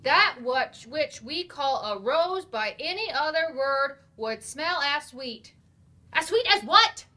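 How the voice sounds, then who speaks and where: A woman plays an adult remedial student in a staged drama. Her voice is very high and bright, plain-spoken, puzzled by the lesson and ready to argue back cheekily. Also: animated, takes it slowly, heavily acted. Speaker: stage actor in a staged play reading. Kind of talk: staged play reading, dramatic dialogue.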